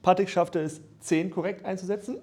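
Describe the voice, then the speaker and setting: Brisk male show assistant, raised pitch, noisy recording. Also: steady tone. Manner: brisk, reads out scores. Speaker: taskmaster's assistant. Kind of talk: game show banter, reading results.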